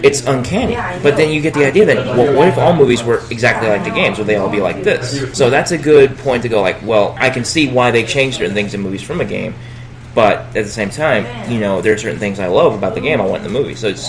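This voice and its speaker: Guy with a lowish voice just chatting, lots of you knows, music going in the background. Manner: rambling, many fillers and restarts. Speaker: podcast co-host. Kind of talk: casual chat.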